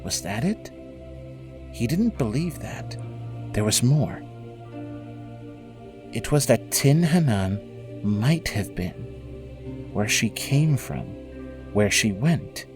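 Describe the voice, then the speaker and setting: A man narrating a scripted dramatic story in a measured, solemn way, with music behind him. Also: medium pitch, expressive. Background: prominent music.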